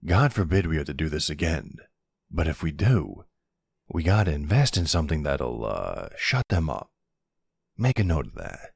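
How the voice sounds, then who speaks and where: A man's voice: very animated, normal speed, no echo, clean studio sound, exaggerated voice. A man playing a weary, exasperated but courteous mafia boss in an ASMR audio roleplay, with wry, colloquial delivery. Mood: dry, annoyed. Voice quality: deep, smooth, gravelly creak. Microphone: close, dry microphone.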